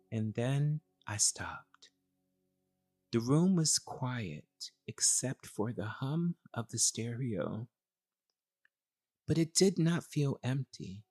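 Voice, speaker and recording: A man narrating a scripted first-person story in a solemn, reflective, measured way. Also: medium pitch, slightly rough.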